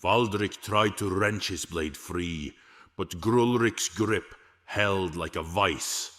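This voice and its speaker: A man's voice: low voice, takes it slowly, a bit bright. A man narrating an epic fantasy story in a dramatic, scripted storytelling style. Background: music.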